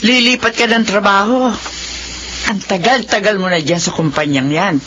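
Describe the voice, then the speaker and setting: A woman's voice: medium pitch, moderate pace, loud music behind the voice, close to an everyday voice. A woman playing a practical, worrying middle-aged mother and elder sister, with a down-to-earth, scolding manner in Filipino radio drama. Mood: scolding, worried. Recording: muffled radio drama with faint noise.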